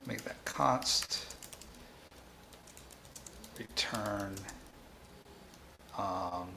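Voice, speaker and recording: A man hosting a live coding tutorial, thinking aloud in a halting way and repeating phrases as he reasons. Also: lowish voice, vocal fry, bright, forceful tone, a little hiss or hum. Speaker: programming tutor and livestream host.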